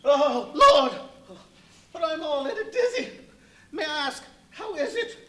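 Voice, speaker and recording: A man's voice: very high voice, sing-song, slow pace, noisy background, full character voice. A man playing a flustered, superstitious rustic tramp who is eager to please, in a live radio play. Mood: frightened, eager. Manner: flustered, exclaiming, folksy. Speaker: stage radio play actor. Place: live performance at a brewery venue. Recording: echoey live stage recording.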